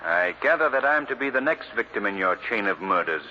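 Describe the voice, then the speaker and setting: Suave gentleman avenger, very animated delivery. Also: fairly low pitch, fairly quick. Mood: cool, probing. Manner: formal, crisp, self-assured. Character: suave, composed gentleman secretly a crime-fighting avenger. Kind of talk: 1940s radio drama acting. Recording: muffled, hissy old radio recording.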